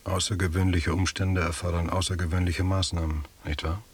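An actor playing a disapproving, sardonic expedition member in a radio play, dry and pointed, with a husky voice. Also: male voice, sits low, flat delivery, brisk. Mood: dry disapproval. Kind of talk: 1980s German radio play acting.

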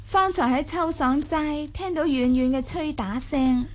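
A woman playing a shrewd, lively young mistress of a rich household in a Cantonese audio drama, chatting and explaining smoothly. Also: high-pitched, a little slow, slight background noise. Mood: bright, helpful.

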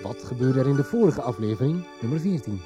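A man's voice: medium pitch, animated, quick pace, over music. A man reading scripted narration that recaps a radio soap.

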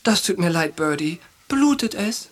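A man's voice: sits high, expressive, measured pace. An actor playing a brash, cocky young soldier in a German radio drama, coarse and pushy with his boyhood friend. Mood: impatient, teasing.

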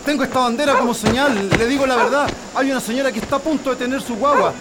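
An actor playing a nervous, well-meaning Chilean husband and neighbour, hesitant and stammering, in a radio drama. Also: male voice, extremely high pitch, quick pace, heavy background noise. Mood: anxious, earnest.

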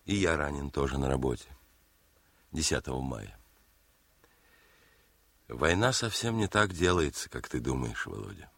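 Deep-voiced, seasoned army lieutenant. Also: male voice, expressive, gravelly, fairly bright tone, no room sound, heavily acted. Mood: calm, matter-of-fact. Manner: measured, courteous, reserved. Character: seasoned army lieutenant, fond, sober elder brother. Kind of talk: theatrical radio drama acting. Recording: radio play with faint music.

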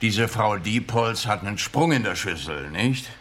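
An actor playing a teasing, matter-of-fact police detective in a radio crime comedy, with a wry manner. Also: male voice, on the low side, lively intonation, measured pace, fairly bright tone. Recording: noticeable background noise and ambience.

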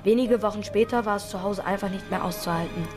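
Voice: mid-range voice, fast, natural voice. An actor in a children's radio play voicing a tough street kid, matter-of-fact, wry and teasing. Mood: matter-of-fact, bitter. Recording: studio radio play with faint music.

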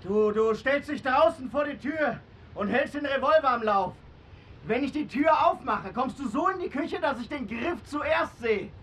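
An actor playing a cocky, threatening young gangster who barks taunts and orders in a crime radio drama. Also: male voice, very high voice, expressive, normal speed, fairly bright tone, slight background noise. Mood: threatening, commanding. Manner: taunting, aggressive, barking orders.

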